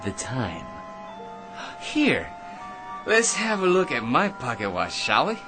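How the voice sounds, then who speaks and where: Cocky, animated American chatterbox with crackpot schemes. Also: male voice, medium pitch, slow. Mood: smug, chatty. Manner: rambling, smug, stammering excitedly, pestering with tag questions. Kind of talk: radio drama acting. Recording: radio drama with music underneath.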